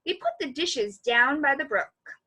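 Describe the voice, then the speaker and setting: A woman reading a children's story aloud as a library storyteller, in an expressive, animated way. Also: sits high. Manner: animated, pausing to comment on the story.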